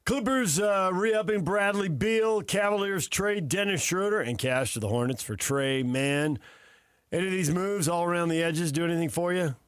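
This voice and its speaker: A man with a slightly high voice co-hosts a sports talk show. He talks in a casual, bantering way, recorded close and dry. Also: normal speed, clear, resonant tone, no echo. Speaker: sports radio co-host.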